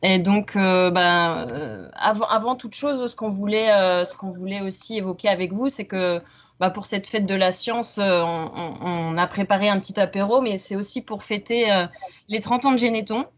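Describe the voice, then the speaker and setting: A woman in her thirties from Franche-Comté in France, presenting science informally and haltingly on a video call. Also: mid-range voice. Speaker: researcher, doctoral student presenting. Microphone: video call microphone. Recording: muffled video-call audio.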